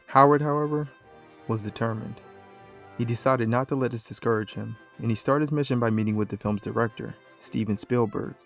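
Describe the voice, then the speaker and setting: A man's voice: mid-range voice, a little fast, vocal fry, a bit bright. Man narrating a scripted documentary over music.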